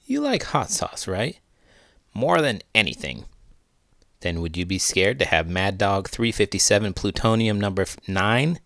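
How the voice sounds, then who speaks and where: A man giving a playful, expressive read-aloud of a picture book. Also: on the low side, measured pace, vocal fry, no room sound, studio quality. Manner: animated, playful storytelling.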